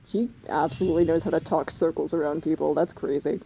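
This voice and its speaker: Female streamer, mellow with heavy vocal fry, wry and slangy casual commentary, faint ambience behind. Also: low voice, steady tone, quick pace, slight background noise, low-quality recording. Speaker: game streamer. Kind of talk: casual gameplay reaction commentary.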